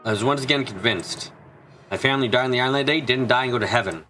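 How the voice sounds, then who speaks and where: A man reading a visual novel aloud as an expressive fan dub, narrating and voicing dialogue with the odd stumble. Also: mid-range voice, fast talker, faint music behind.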